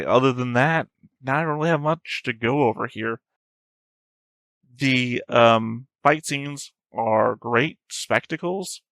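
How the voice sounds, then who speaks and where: A man's voice: fairly low pitch, fairly bright tone, no room sound. A man hosting a reaction video, giving casual analytical commentary in a rambling, thinking-aloud way.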